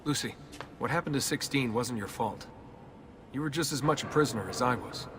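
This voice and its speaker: A man's voice: medium pitch, fairly quick, noisy background, lightly acted. A man playing a wry, questioning young everyman hero in a video game, speaking casually. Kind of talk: video game cutscene dialogue. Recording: music behind the voice.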